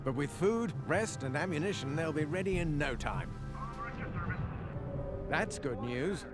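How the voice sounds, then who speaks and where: A man's voice: a little high, lively intonation, unhurried, over loud music. A man playing a seasoned British military officer in a World War II game, clipped and authoritative. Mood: relieved. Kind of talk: video game voice acting, WWII briefing.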